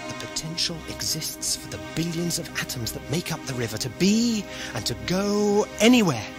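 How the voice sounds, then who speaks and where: A man's voice: fairly high pitch, animated, moderate pace, close to an everyday voice. Theatrical, poetic alien wanderer played by a man, music behind. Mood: intense, philosophical. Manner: declaiming, literary, sardonic. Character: wandering alien Doctor, poetic, philosophical, defiant, male. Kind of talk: theatrical audio drama acting.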